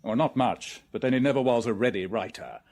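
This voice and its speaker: An actor playing an affable English army major in a radio drama, with chummy clipped slang and an upper-class English accent. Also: male voice, medium pitch, brisk, darkish tone, close to an everyday voice. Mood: hearty, welcoming.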